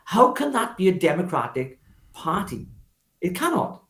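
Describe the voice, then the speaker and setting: Animated, higher-pitched Welsh man. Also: moderate pace, echoey room. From Wales. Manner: emphatic, oratorical, with repetition and rhetorical questions. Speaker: political activist guest, former parliamentary candidate. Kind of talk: spontaneous political interview talk.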